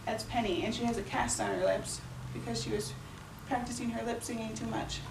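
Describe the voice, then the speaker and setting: A woman's voice: on the high side, little pitch movement, fairly quick, gravelly. Young woman doing a booktalk for little kids, does the voices when she reads, sounds a bit far off, faint room hum. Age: young adult. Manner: friendly storytelling, acts out quoted lines. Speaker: student librarian giving a booktalk. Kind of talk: reading aloud to children. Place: elementary school classroom. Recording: echoey classroom from far off.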